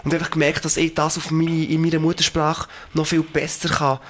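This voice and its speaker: A young man in his late twenties speaks with a Swiss German accent, his voice sitting a little higher than most men's. He answers thoughtfully and earnestly, pausing on fillers as he works through his ideas. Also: completely flat delivery, slight background noise. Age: 20s. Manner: reflective, earnest, many fillers. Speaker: singer. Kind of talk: interview answers.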